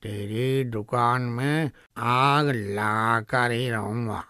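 A man's voice: medium pitch, very slow, pushed and bright, clean tone, no room sound, clean studio sound. A man playing a bullying village husband in a cartoon story, with overwrought melodramatic delivery. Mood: menacing. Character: vindictive, bullying village husband, cowardly when cornered.

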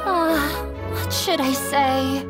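A woman's voice: high voice, slow. A woman playing a shy, self-doubting young woman who speaks hesitantly in a video game. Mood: nervous. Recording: music behind the voice.

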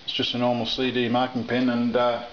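A middle-aged man explaining his tiling work in a casual, halting way, with many fillers, filmed indoors in a kitchen on a camera mic. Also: medium pitch, completely flat delivery. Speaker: home renovator. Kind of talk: casual how-to commentary while working. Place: kitchen under renovation, indoors. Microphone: camera mic, off-camera speaker. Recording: roomy, dull camera audio with faint noise.

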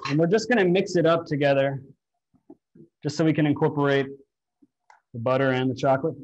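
A man's voice: fairly high pitch, brisk, a little mellow, a little hiss or hum. Man teaching a live cooking demo. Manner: instructive, walks viewers through steps. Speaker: cooking demonstrator. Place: large home-style kitchen, indoors. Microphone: far camera. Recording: dull lo-fi livestream audio.

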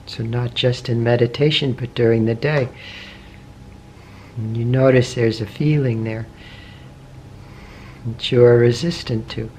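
A man guides a meditation in a soft, unhurried voice of middling pitch, letting long pauses fall between short phrases. His questions come gently and patiently. A faint hiss sits under his voice. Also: fairly even intonation. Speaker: meditation teacher. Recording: faint background noise with meditation music.

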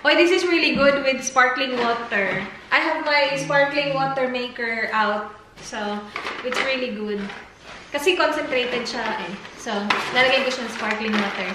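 Giggly high-voiced woman, echoey kitchen. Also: moderate pace. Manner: giggly, playful. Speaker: vlogger. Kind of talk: casual chat. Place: large home kitchen, indoors. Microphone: far camera. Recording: echoey kitchen from far off, noisy.

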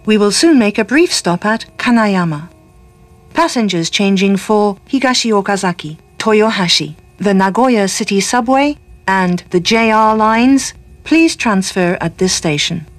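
Woman, medium pitch, slow pace, recorded train announcement, careful enunciation. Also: lively intonation, no room sound. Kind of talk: recorded public announcement, scripted.

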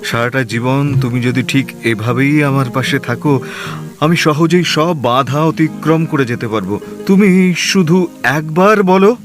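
An actor playing a young newlywed husband in an audio drama, gentle, dreamy and coaxing with his wife. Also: mid-range voice, measured pace, music in the background, lightly acted. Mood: romantic.

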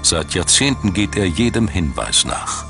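Deep-voiced man narrating a documentary over music. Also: big pitch swings, unhurried, gravelly. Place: studio voice-over.

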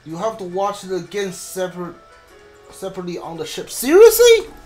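A male gaming streamer talks casually through a live playthrough. He is halting and often repeats words while working out his thought. Faint music plays behind him. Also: high-pitched, huge pitch swings, darkish tone. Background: faint game music.

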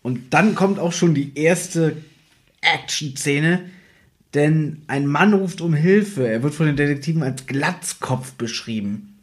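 Man, slightly high voice, podcast host, casual conversational retelling. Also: slow pace. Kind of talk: casual podcast discussion retelling an audio drama episode.

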